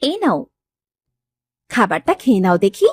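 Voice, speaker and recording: A woman's voice: medium pitch, big pitch swings, moderate pace, breathy edge. A woman playing a caring village housewife in a cartoon, fussing in a plaintive way. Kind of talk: Bengali cartoon dialogue acting.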